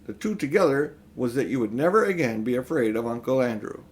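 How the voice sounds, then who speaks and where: Animated older man reading a storybook. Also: mid-range voice, brisk. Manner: expressive, emphatic storytelling. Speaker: audiobook reader. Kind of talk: children's storybook narration.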